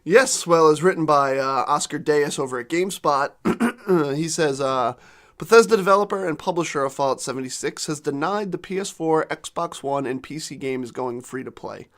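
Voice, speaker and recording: Casting: male podcast co-host, a bit hoarse, slightly higher voice, reads news stories in a relaxed tone. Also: normal speed. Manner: clears throat, reads quotes in runs. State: losing his voice. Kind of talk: casual chat, reading news aloud.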